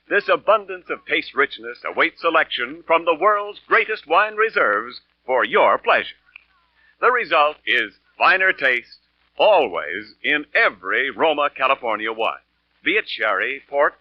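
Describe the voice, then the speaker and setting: A man's voice: medium pitch, big pitch swings, unhurried, dry recording. A man with an American accent reading a scripted 1940s radio commercial as the announcer. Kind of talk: 1940s radio advertising read. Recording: muffled old radio recording.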